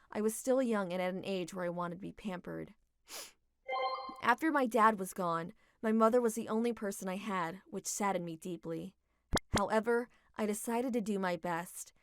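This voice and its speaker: A young adult woman narrating a manga dub story in a steady, reflective reading. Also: mid-range voice, moderate pace, background noise.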